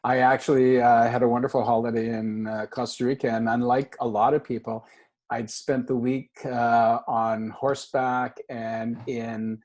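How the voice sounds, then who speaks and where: A man's voice: mid-range voice, flat delivery, normal speed, a little mellow. A man from Canada hosting a casual video call interview, upbeat and loose with many fillers. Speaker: host.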